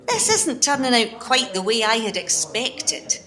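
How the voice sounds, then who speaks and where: A woman playing a disappointed, self-doubting wife in an amateur radio play, plaintive and expressive. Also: fairly high pitch, unhurried, heavily acted. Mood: rueful.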